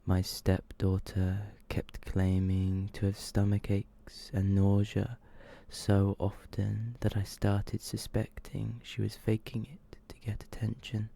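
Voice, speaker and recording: A man speaks in a hushed, low-pitched ASMR murmur that often drops to a whisper. His delivery is slow and almost completely flat, as he reads close to the mic. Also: creaky. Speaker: ASMR video host reading short horror stories.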